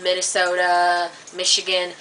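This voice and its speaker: A woman vlogging in a casual, rambling monologue to camera, full of "like" and "um", getting animated and high when worked up. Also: unhurried. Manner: chatty, filler-heavy, many "like" and "um" restarts. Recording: distant webcam mic with faint hiss.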